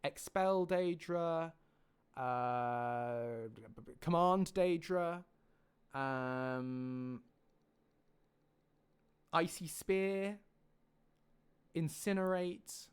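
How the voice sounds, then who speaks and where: A man's voice: on the high side, drawn-out words, long pauses, clear, resonant tone. Enthusiastic man chatting on a livestream. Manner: enthusiastic, rambling explanatory. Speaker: mod creator and livestream host. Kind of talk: casual livestream update talk to viewers.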